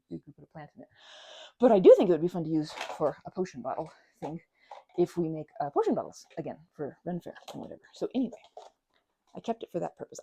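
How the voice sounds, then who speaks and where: A woman chatting casually to the listener as a best friend in an ASMR roleplay, bubbly and expressive, rambling and changing course mid-thought. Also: lowish voice, vocal fry, breathy edge.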